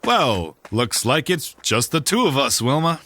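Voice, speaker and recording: An actor playing a hearty, cheerfully oblivious old-fashioned hero in a comedy audio drama, with jaunty old-time heroic diction. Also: male voice, medium pitch, very animated, a little slow, fairly bright tone, no room sound. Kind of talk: 1930s-style radio serial acting.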